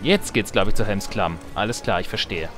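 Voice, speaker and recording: A man's voice: fairly low pitch, a little fast. A man giving casual let's play commentary, with joking asides and musing to himself. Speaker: let's player. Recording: game music behind the voice. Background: strategy game music and sounds.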